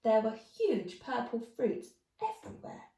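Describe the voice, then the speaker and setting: A woman storyteller reading a rhyming children's tale in an expressive storybook style. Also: on the high side, unhurried. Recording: roomy, echoey, distant mic.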